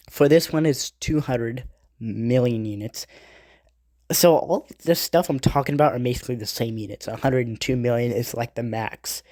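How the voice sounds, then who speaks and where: Guy with a stuffed-up nose rambling thru his podcast notes, mid-pitched voice, dry close sound. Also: darkish tone, no room sound. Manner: rambling, halting, with many asides and self-corrections. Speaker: podcast host. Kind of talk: casual podcast monologue reading album facts.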